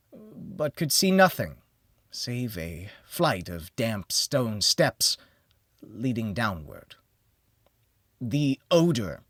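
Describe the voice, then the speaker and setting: A man narrates a first-person gothic horror audiobook in a theatrical style. His mid-pitched voice moves slowly and deliberately, sinking into hushed phrases and rising into emphatic ones with a very animated range. The sound is dry, with no sense of room around him. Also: dry recording.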